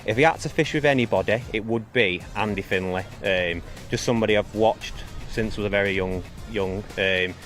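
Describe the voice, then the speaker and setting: A man's voice: fairly low pitch, fairly quick, few pauses, fairly bright tone, faint music behind. A man giving plain, matter-of-fact interview answers. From St Helens, England. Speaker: competitor interviewee.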